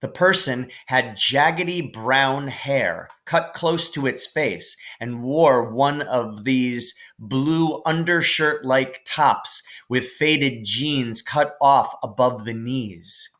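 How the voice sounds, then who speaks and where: A man reading a children's novel aloud as scripted narration, in a measured, careful way. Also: medium pitch, fairly bright tone. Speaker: audiobook narrator.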